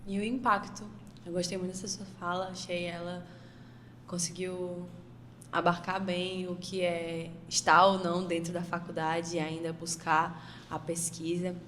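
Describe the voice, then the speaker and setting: A woman's voice: on the low side, level tone, unhurried, fairly bright tone. A woman co-hosting a panel podcast, putting questions to guests and addressing listeners. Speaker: podcast co-host. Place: makeshift studio at a university campus event. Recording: long reverb, faint ambient noise.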